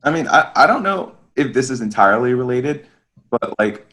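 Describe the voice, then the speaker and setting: A man's voice: medium pitch, animated, normal speed, bright, forceful tone. Musing man in casual chat. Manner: musing, searching for words. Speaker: podcast guest.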